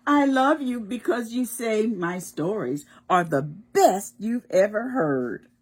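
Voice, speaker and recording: A woman reading aloud as a gentle, loving mother bunny from a picture book, in a soft sing-song voice. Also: medium pitch, lively intonation, slow, breathy edge, voice pushed up. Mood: tender.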